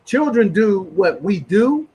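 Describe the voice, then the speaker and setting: A man's voice: high voice, sing-song, slow, a little mellow, breathy edge. A man hosting a conversational interview in an effusive way, peppering his talk with "man" and "brother". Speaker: interview host.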